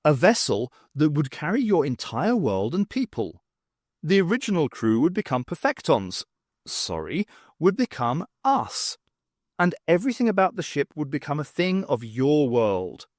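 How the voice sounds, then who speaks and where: A man voicing a brisk, confident woman adventurer in an audiobook, wry and emphatic. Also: a little high, very animated, dry recording, studio quality. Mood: explaining. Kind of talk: audiobook character voice.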